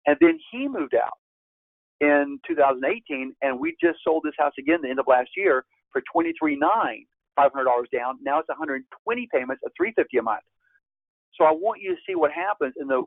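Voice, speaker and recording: A gravelly man in his fifties is heard over a thin, phone-like line with a dry, close sound. He teaches like a seasoned presenter, stressing his points with sudden leaps upward in pitch. His voice sits at an ordinary middle pitch between those leaps. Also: no echo. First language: Spanish. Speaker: real estate investor presenting a course. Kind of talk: webinar presentation.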